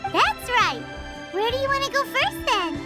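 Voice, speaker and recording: A woman's voice: very high voice, sing-song, a little slow, exaggerated voice. A woman voicing a tiny, cheeky, childlike fairy companion in a video game, blunt and encouraging. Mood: eager. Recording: loud background music.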